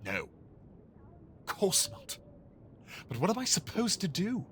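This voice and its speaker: An actor playing a harried, exasperated Victorian police inspector in an audio drama, gruff and formal. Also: male voice, high-pitched, huge pitch swings, measured pace, fairly bright tone, a little hiss or hum, full character voice. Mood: frustrated, defensive.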